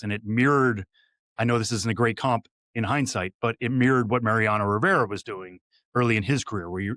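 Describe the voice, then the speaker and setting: A man's voice: fairly low pitch, a bit bright, dry recording. A man in his thirties from Western Massachusetts, a guest analyst giving long, detailed conversational answers with light fillers. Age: 30s.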